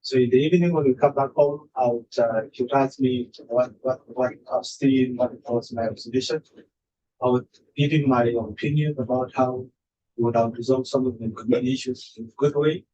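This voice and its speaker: A man from South Sudan, testifying slowly to a legislative committee with many pauses and fillers. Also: medium pitch, fairly even intonation, warm tone, husky. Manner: slow, halting, many uh fillers. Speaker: adult education student testifying. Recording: dull lo-fi room audio with faint noise.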